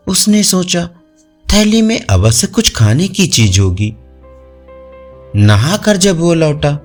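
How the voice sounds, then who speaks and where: Slow, animated male storyteller, higher-pitched. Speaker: storyteller, narrator. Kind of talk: scripted narration of moral folk tales. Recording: music under the voice. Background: faint music.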